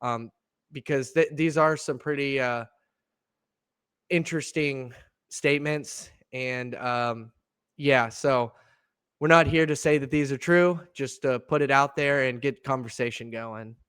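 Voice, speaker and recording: A young man co-hosting a laid-back podcast, his voice a little gravelly and nasal from allergy congestion. He speaks at a middling pitch, and the sound comes through smooth and clear in a dry, close room. He rambles easily, restarts sentences and laughs often. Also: a little slow, a bit bright, no room sound. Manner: easygoing, laughs often, many fillers and restarts. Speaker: podcast co-host. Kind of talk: casual chat.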